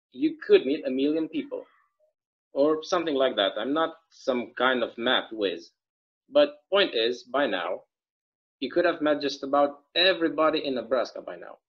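A man's voice: mid-range voice, lively intonation, moderate pace, lightly acted. Guy doing a chatty small town bartender, folksy rambly stage play read, kinda muffled call audio. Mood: friendly, curious. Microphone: video call microphone. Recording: dull, lo-fi video call audio.

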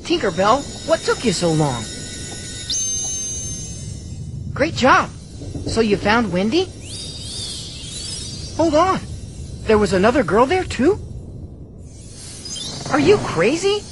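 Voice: mid-range voice, sing-song, slow, pauses often, over music. A performer playing a cocky, boyish flying adventurer in a video game, brash and breezy in manner. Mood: impatient. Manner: brash, breezy, self-assured.

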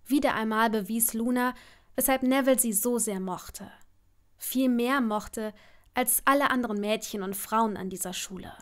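A woman's voice: fairly high pitch, moderate pace. A woman reading scripted story narration as the narrator of an audio drama.

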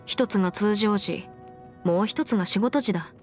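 A woman's voice: mid-range voice, moderate pace, soft background music, lightly acted. A woman voicing a confident, bookish young female legal expert in a video game, speaking in a self-assured, formal, lecturing way. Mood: composed, self-assured. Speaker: video game character voice actor. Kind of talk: scripted anime-style game character monologue.

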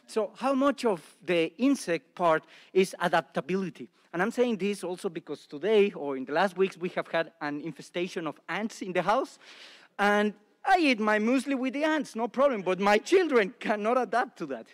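A man's voice: high-pitched, animated, moderate pace. A man from Mexico in the audience of a panel talk, asking a rambling, jokey question into a handheld mic in a large lecture hall. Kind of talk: public Q&A question. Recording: long hall reverb.

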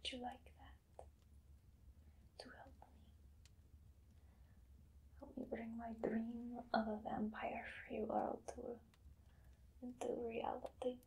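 A woman in her thirties whispering as a zealous female vampire hunter in an ASMR roleplay, slow and deliberate. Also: on the high side, level tone, gravelly, darkish tone, slight background noise. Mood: eager. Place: small dim room indoors.

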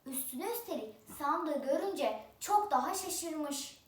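A woman's voice: sits high, expressive, takes it slowly, distant mic. A female storyteller telling a folk tale expressively and theatrically, stretching and repeating words. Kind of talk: oral folk-tale storytelling with rhyming opening. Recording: roomy echo, away from the mic.

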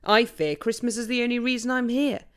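A woman's voice: fairly high pitch, fairly quick, clean tone, pitched above the performer's own voice, lightly acted. Woman doing a fearful, pleading turkey. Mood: anxious. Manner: anxious, pleading. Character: fearful turkey dreading Christmas dinner.

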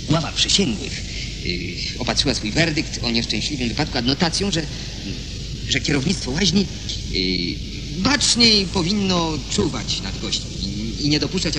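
An actor in a radio drama playing a fearful, obsessive man who talks haltingly and repeats his words. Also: mid-range voice, close to an everyday voice. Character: agitated, fearful, obsessive man convinced of a poisoner. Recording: heavy background noise behind dialogue.

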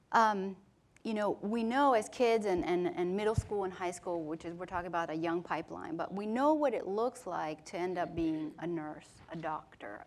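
A woman in her thirties who grew up in Honduras speaks on a panel stage. She tells her story in an animated, conversational way, often with "like" and repeated words. She speaks into a lapel mic. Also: medium pitch. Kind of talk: panel discussion.

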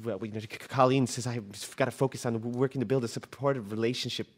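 A man's voice: mid-range voice, steady tone, fast talker, lightly acted. Man acting timid husband, faded talk show host, stammering, hesitant, live radio play. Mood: wistful, hesitant. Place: live stage radio production.

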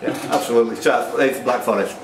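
A man's voice: mid-range voice, quick pace, distant mic, a crowd in the background. A man, acting as quizmaster, reading questions and bantering with the players. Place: pub, indoors among a group of players. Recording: roomy pub recording, off-mic, faint noise.

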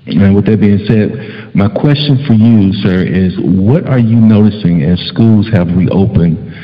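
Male host, low voice, effusive and repetitive; lo-fi reverberant video call sound. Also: unhurried. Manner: effusive, repetitive praise with fillers. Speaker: talk show host. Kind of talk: online talk show hosting.

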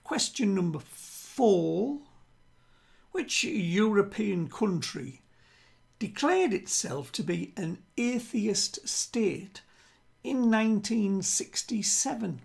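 An older British man hosting a quiz, speaking in a deliberate way and repeating himself. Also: sits high, gravelly, breathy edge. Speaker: quiz host. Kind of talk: reading quiz questions to camera. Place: small room at home with bookshelves.

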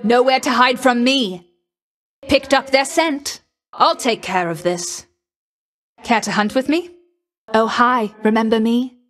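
A huntress goddess who speaks with cool, focused confidence. Her voice sits a little high and comes unhurried. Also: fairly bright tone. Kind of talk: video game voice lines.

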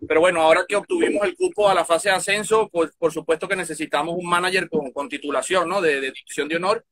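A man's voice: fairly high pitch, steady tone, quick pace, fairly bright tone, no room sound. A man, speaking for a baseball club in an interview, hesitant and full of fillers, recorded outdoors on a phone. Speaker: club representative, guest. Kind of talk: casual interview answers. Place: outdoors by a field.

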